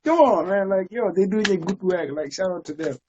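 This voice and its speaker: A woman's voice: mid-range voice, expressive, fairly quick, warm tone, muffled recording, a little hiss or hum. A woman with a South African accent hosting a casual, slangy interview. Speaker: interview host.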